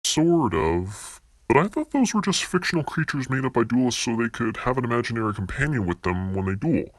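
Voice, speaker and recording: A man voicing a skeptical, deadpan duelist sidekick in an anime fan production. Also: medium pitch, a little fast, no room sound.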